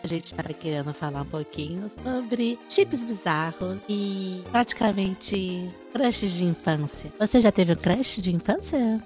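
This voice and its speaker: A woman's voice: mid-range voice, expressive, unhurried, few pauses, darkish tone. A young woman with a Brazilian accent hosting a casual explanatory chat, with music under her voice. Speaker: video host.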